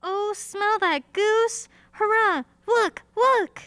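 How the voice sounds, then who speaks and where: A woman as a very high little boy. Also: extremely slow, sharp tone, no echo, studio quality, heavily acted. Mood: excited. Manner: childlike, earnest. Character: small, sweet, earnest, frail little boy. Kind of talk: old-time radio play acting.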